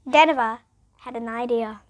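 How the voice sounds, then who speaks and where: A female reader, reading a children's picture book aloud in an expressive, emphatic way. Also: a little high, unhurried.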